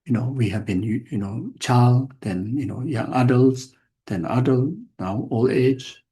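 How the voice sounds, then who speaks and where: An older man gives a dharma talk in a gravelly, mellow voice. He speaks in halting fragments, circling back with repeated words and restarted phrases. His tone stays plain and reflective, with a self-mocking edge. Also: medium pitch. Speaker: Buddhist teacher. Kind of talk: dharma teaching to an audience.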